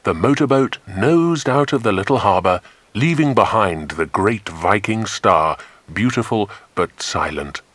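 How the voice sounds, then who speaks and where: A man narrating a children's adventure story in an expressive, scripted audiobook style. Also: fairly low pitch, unhurried.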